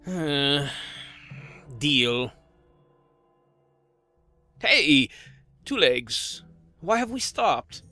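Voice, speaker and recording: Man as a bright, forceful cartoon dragon. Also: on the high side, sing-song, very slow, long pauses, no room sound, soft background music, full character voice. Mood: grudging. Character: gruff, hot-tempered widowed male dragon. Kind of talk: animated fantasy drama acting.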